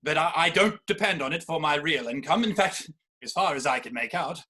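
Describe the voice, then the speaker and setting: A man playing a polite young Victorian gentleman suitor in a theatrical comedy reading, well-spoken and deferential. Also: fairly high pitch, a little fast, breathy edge. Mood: earnest, deferential. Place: virtual performance from home in isolation.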